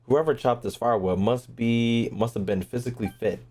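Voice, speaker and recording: A man's voice: medium pitch, moderate pace, warm tone. A man doing casual live gameplay commentary as a streamer, informal and profane, sometimes reading on-screen text aloud. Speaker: game streamer. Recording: game music behind the voice.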